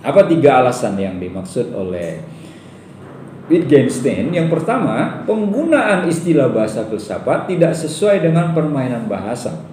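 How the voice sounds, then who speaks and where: A man's voice: mid-range voice, warm tone. A man with a Sumatran Indonesian accent giving a conversational classroom lecture, putting rhetorical questions to his students. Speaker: university lecturer. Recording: roomy echo, distant mic, faint background noise.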